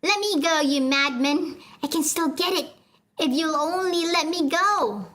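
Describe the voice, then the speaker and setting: A woman's voice: high voice, measured pace, a bit bright, voice pushed up. A woman voicing a desperate, grasping male psychiatrist who pleads frantically. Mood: frantic.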